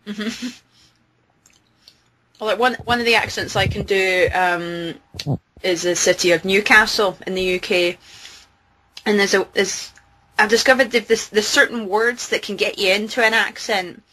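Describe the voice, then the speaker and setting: A woman's voice: mid-range voice, steady tone, a little slow, long pauses, sharp tone, rough recording. An English-born woman with a Scottish accent, chatting loosely on a voice call with lots of fillers. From England. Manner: chatty, rambling, with many fillers. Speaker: interview guest. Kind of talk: casual chat on a voice call. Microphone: video call.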